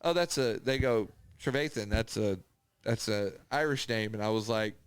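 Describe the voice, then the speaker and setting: Animated man swapping stories, dry recording. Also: on the low side, moderate pace, clean tone, no room sound. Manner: animated retelling with acted-out quotes, swearing. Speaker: podcast host. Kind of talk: casual chat, anecdotal storytelling.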